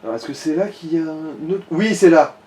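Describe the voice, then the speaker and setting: Mellow-voiced Frenchman guiding a gamer. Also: a little high, expressive, brisk. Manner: colloquial, sweary, advising. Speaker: co-commentator guiding the player. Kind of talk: casual gaming chat.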